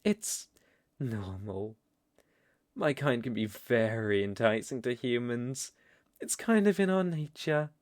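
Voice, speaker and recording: A man's voice: medium pitch, lively intonation, slow pace, no room sound, studio quality. A British man playing a seductive, domineering vampire lord in an intimate ASMR roleplay, with teasing, ornate, condescending speech. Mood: soft, seductive.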